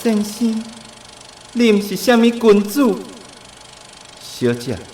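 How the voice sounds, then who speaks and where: A flirtatious, highish-voiced film narrator. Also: male voice, sing-song, takes it slowly, a little mellow, a little hiss or hum, full character voice. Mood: ardent, theatrical. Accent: Taiwanese. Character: smooth-talking master film narrator, flirtatious, theatrical romantic. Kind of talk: radio drama acting, live film dubbing.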